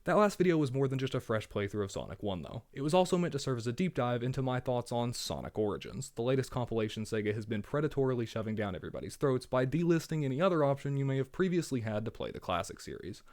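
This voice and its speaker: A man's voice: medium pitch, animated, fast talker, hardly any pauses, a little mellow. A man narrating a scripted game review with wry, sarcastic asides, his voice worn from a cold. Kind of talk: scripted video essay narration.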